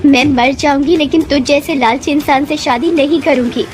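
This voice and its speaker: A woman's voice: sits high, fairly even intonation, normal speed, soft background music, pitched above the performer's own voice, natural voice. A woman voicing a determined, defiant young village daughter in a cartoon moral story, firm and earnest.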